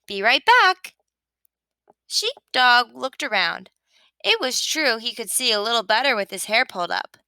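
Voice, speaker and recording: A woman reading a children's picture book aloud with expressive narration. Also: on the high side, moderate pace, clean tone, no echo. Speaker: storybook reader.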